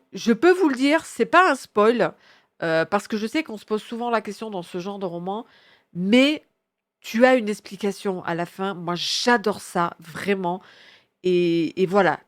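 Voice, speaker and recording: A woman with a head cold gives casual, enthusiastic book recommendations in a slangy, rambling way, often correcting herself. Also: medium pitch. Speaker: book reviewer presenting her reading picks.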